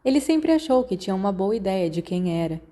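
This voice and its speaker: Woman, Brazilian accent, mellow timbre, story narration, audiobook reader. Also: medium pitch, sing-song, fairly quick.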